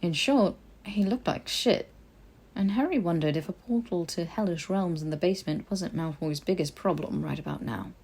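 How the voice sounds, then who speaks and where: A woman reading a story aloud as an expressive audiobook narrator. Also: on the low side, normal speed, slightly rough. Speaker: podfic narrator. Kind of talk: expressive scripted fiction narration.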